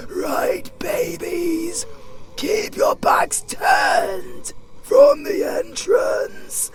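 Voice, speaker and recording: A British man playing a gleefully evil, flirty undead necromancer in an audio roleplay, theatrical and foul-mouthed, swinging between sly whispers and shrill shrieks. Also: very animated, drawn-out words, gravelly, shouting, over music, heavily acted. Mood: excited, conspiratorial. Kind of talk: audio roleplay acting spoken to the listener.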